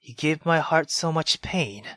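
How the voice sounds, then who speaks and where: A man voicing a stern, reproachful mother in a visual novel, speaking low and hushed. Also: lively intonation, a little slow, no room sound, studio quality. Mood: cold scolding. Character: stern, scolding mother figure, woman.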